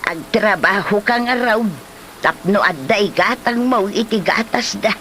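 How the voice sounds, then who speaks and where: An actress as an older Filipino mother, fretting and sympathetic. She speaks slowly in a sighing, motherly manner, in a comedy drama with faint noise behind her. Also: medium pitch, a bit bright, lightly acted. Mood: concerned, pitying.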